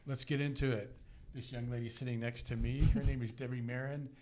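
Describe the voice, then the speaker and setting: Man, podcast host, mid-pitched, upbeat chatty interview. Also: little pitch movement, fairly quick, a little mellow. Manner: upbeat, friendly, loose with fillers. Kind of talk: casual interview chat. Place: studio with guest beside him.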